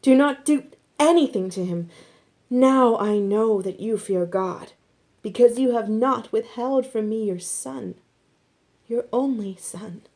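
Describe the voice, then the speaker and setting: A woman's voice: on the high side, takes it slowly, dark tone, voice pushed up. A woman voicing a commanding, reassuring angel in scripture storytelling, with an emphatic, proclaiming manner.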